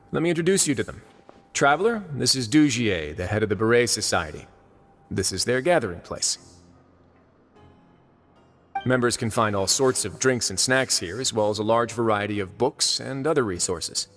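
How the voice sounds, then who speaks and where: An actor voicing a composed, wry duke in a video game, speaking in a dry, polite, unhurried manner. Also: male voice, mid-range voice, lively intonation, a bit bright, soft background music. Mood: composed, explanatory. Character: composed, wry duke running an underwater prison.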